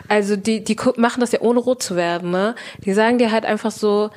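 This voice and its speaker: A young woman co-hosting a podcast, talking casually with lots of fillers and quick asides. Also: medium pitch, a little mellow. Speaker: podcast co-host.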